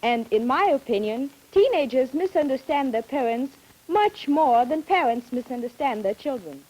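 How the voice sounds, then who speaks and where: A woman's voice: high-pitched, animated, moderate pace, mellow, rough recording. A teenage girl from Brazil, giving short, plain answers as a student panelist. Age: teens.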